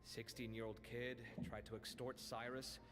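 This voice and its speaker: A man playing an expressive, fussy young male scholar in an anime video game, chatty and dramatic. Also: mid-range voice, moderate pace, long reverb tail, close to an everyday voice. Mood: chatty, animated.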